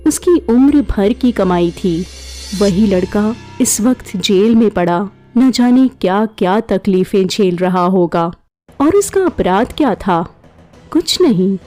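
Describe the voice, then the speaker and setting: Woman reading a story aloud, real slow and dramatic, soft mellow tone, quiet music underneath. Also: medium pitch. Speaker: narrator. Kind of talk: scripted story narration. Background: faint background music.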